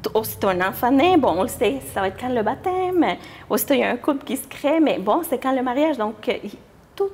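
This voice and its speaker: A young woman with a fairly high voice. She answers as an interview guest in an informal way, often hesitating as she looks for her words. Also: lively intonation, fairly quick, a little mellow, slight background noise. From Mexico. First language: Spanish. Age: young adult. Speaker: interview guest, church youth group leader.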